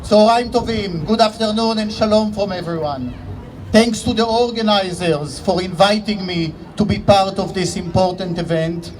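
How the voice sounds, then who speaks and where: A middle-aged man from Israel with an Israeli accent, a professor giving a measured, formal speech at an outdoor rally, with dry humor. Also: high voice, darkish tone, a little hiss or hum, rough recording. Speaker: economics professor.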